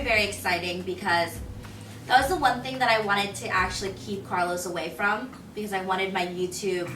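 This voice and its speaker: A woman's voice: fairly low pitch, reverberant, distant mic, background noise. A young woman, a YouTuber, chatting casually in a couple Q&A, giggly and saying "like" a lot. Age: young adult.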